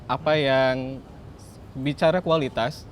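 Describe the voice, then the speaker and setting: A man giving football analysis in conversation, measured and explanatory. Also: mid-range voice, steady tone, a little hiss or hum. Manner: measured, explanatory, uses filler "gitu".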